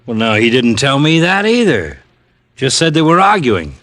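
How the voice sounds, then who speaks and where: Animated, grudging police detective. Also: male voice, mid-range voice, moderate pace. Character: grumbling but cooperative male police detective. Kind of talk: 1940s-50s radio drama acting.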